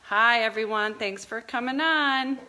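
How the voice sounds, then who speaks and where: A woman's voice: fairly high pitch, noisy background. A woman behind the camera reading out and greeting the viewers watching a live cooking stream. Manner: greets viewers by name, slow and relaxed.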